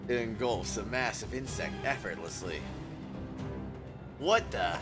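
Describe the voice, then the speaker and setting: Male streamer reading visual novel narration with dramatic flair and wry asides, lively swings in pitch, loud music underneath. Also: a little slow. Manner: dramatic read-aloud mixed with joking asides. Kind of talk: reading game narration aloud with casual live commentary. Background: loud game music.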